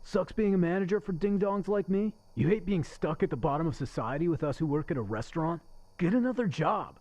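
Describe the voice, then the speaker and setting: Man playing a sarcastic, animated slacker, slightly muffled. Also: fairly high pitch, fairly quick. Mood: bitter, agitated. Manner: sarcastic, needling. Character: crude, bitter restaurant server facing execution, secretly lonely. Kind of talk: radio play acting.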